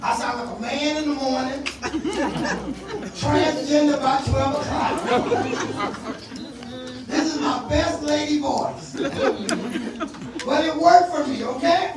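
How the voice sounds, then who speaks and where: Deep-voiced woman comedian, live. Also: slow, long pauses, far from the mic. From South Carolina. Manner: loud, punchy, crowd-working delivery. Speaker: stand-up comedian. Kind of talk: stand-up comedy set. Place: comedy club stage. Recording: echoey live venue, mic heard from afar, crowd laughter. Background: audience laughing.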